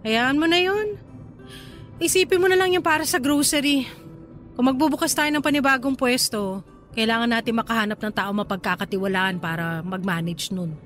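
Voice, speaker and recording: A woman's voice: on the high side, moderate pace, fairly bright tone, faint music behind, close to an everyday voice. A Filipino woman acting a guarded, evasive partner with a secret, in radio drama dialogue. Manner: hesitant, deflecting.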